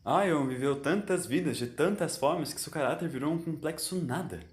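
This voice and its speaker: A man's voice: a little high, normal speed, roomy sound, voice pushed up, natural voice. A man voicing an eccentric time-travelling doctor in an audio story, confident and lecturing, with emphatic, theatrical delivery.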